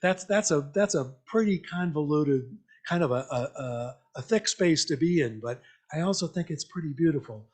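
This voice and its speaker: A man giving an informal, interactive church message in a conversational, reflective, halting way, often checking in with questions. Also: on the high side, expressive, a little mellow. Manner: invites listeners with questions. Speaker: lay church speaker.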